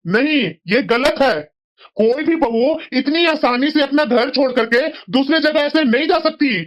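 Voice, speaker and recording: A woman's voice: sits high, a little slow, highs cut off. A woman voicing a sensible middle-aged husband in a family moral-story cartoon, scolding and lecturing reproachfully. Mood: firm.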